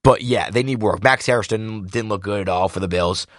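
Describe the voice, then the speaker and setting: A young man with a slightly raspy voice, casually recapping a football game as a podcast host, rambling and correcting himself like an excited fan. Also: fairly low pitch, brisk, dry recording, studio quality. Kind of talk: casual sports recap monologue.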